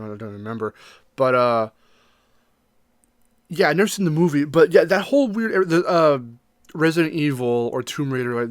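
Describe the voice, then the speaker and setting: Man, podcast co-host, fairly high-pitched, dry close sound, informal and enthusiastic chat. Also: no room sound. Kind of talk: casual chat about games and movies.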